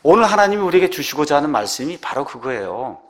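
A man's voice: a little high, big pitch swings, quick pace. A man preaching an expository sermon, didactic and emphatic, often putting rhetorical questions to his listeners. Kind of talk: expository sermon, retelling scripture verse by verse.

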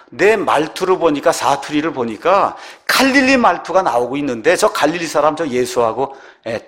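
Higher-voiced male preacher teaching scripture. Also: a bit bright. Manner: didactic, asks rhetorical questions and checks with listeners. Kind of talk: expository sermon, retelling scripture verse by verse.

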